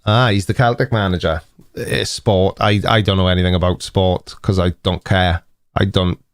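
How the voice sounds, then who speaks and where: A man's voice: low voice, level tone, moderate pace, dry recording. Welsh man, dry and teasing, close sound. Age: 40s. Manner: dry, teasing, mock-indignant. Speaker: live stream host. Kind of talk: casual live chat with viewers.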